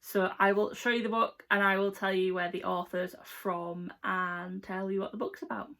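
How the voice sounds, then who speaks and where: A woman's voice: mid-range voice, little pitch movement, moderate pace, vocal fry, a bit bright. A young adult woman with an English accent, chatting casually and informally to viewers as a book vlogger, rambling a little. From England. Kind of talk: casual chat to camera.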